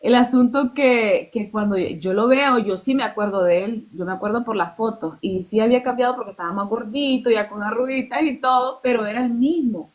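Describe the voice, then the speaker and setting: A woman's voice: fairly high pitch, fairly quick, hardly any pauses. A Nicaraguan woman in her thirties, telling a personal testimony in an earnest way and acting out the dialogue she quotes. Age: 30s. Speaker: guest.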